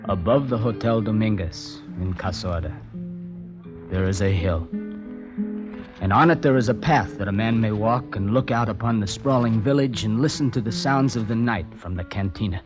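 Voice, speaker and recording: A man playing a desperate, brooding poet in an old radio drama. His voice sits low, and he speaks with literary intensity, with music behind him. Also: moderate pace, close to an everyday voice. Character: desperate man nicknamed Poet, anguished, pleading for a kidnapped woman. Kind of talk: 1950s radio drama acting, brooding first-person narration.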